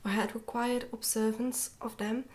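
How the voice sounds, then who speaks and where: A woman reading a storybook in a soft-spoken ASMR style, slipping often into a whisper, with a gentle, hushed delivery. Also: fairly high pitch, little pitch movement, moderate pace. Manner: gentle, hushed delivery with some stumbles over words. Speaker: ASMR reader, channel host.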